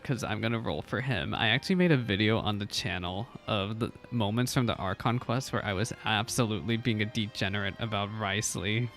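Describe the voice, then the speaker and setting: A man's voice: lowish voice, gravelly, fairly bright tone. A man doing casual live reaction commentary as a game streamer, chatty, exclamatory and self-deprecating.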